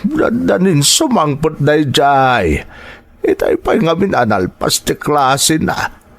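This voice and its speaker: A man playing a kindly old grandfather in an audio drama, talking in an unhurried, expressive way. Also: fairly high pitch, creaky, exaggerated voice. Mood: kindly, proud.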